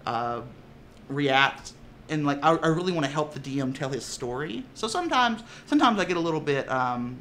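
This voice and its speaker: Man, bright tense voice, slightly high, animated and laughing, stumbling restarts, casual interview, faint noise behind. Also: normal speed. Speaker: tabletop player and voice actor.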